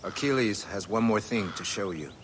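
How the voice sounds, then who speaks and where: Male wilderness mentor, played by a man, game, calm, solemn, instructive, low voice, noisy outdoor ambience. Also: moderate pace, lightly acted. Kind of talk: video game dialogue acting.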